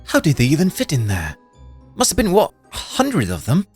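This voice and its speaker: Natalie, a puzzled young woman, as the narrator puts her on, rattles off her questions fast, her voice climbing high. Music hums behind her. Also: wildly swooping pitch, darkish tone, breathy edge, full character voice.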